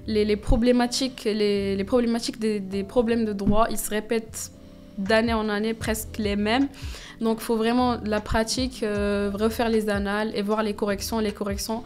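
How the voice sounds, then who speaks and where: A woman's voice: medium pitch, flat delivery, normal speed, a little mellow, faint music behind. A young woman, a business school student whose first language is Arabic, giving casual interview answers with many fillers and restarts. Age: young adult.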